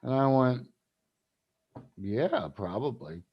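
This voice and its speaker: A man's voice: mid-range voice, animated, slow pace, husky, dull audio. A man from South Carolina, hosting a casual advocacy livestream, rambling with many fillers and restarts. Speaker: cannabis advocacy group host.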